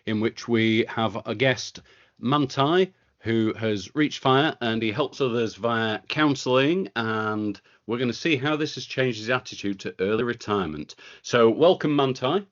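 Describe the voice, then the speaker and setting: A man's voice: medium pitch, unhurried, a bit bright, lo-fi sound. A man hosting a podcast, welcoming guests in a friendly, casual way and stumbling now and then on words. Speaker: podcast host.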